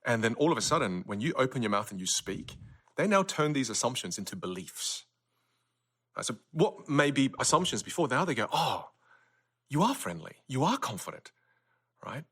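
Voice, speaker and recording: A man's voice: medium pitch, very animated, moderate pace, fairly bright tone, slightly rough. A man as a podcast guest, explaining his ideas and acting out little quoted reactions. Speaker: communication coach guest. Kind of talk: interview answers.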